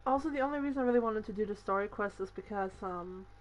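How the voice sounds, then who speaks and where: A woman's voice: mid-range voice, fast talker. Casual, sweary woman gamer. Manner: sweary, informal, self-deprecating. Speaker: gamer commenting on her gameplay. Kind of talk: casual gameplay commentary. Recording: game music behind voice.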